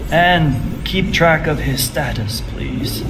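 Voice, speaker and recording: A man's voice: a little high, animated, takes it slowly, gravelly, background noise, heavily acted. A man playing a weary elderly scientist, grave and methodical, in a video game cutscene. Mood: weary, instructing. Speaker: doctor.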